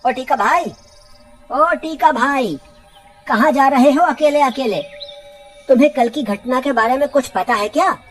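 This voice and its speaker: Woman as a slow-talking, nosy village man. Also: sits high, soft background music. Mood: wary, alarmed. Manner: questioning, earnest. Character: curious, suspicious, good-hearted village man, cartoon hero.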